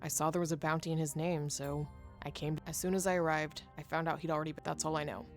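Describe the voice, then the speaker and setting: Bounty hunter for a Western game, played by a woman: low female voice, guarded, sardonic, over clear music. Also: little pitch movement, fast. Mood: pleading. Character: wary, sardonic bounty hunter newly arrived in town. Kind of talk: visual novel voice acting.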